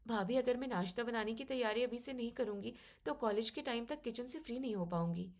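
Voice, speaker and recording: A woman playing an educated young daughter-in-law who is soft-spoken, polite and firm as she reasons in an audio story. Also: fairly high pitch, a little fast. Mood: earnest.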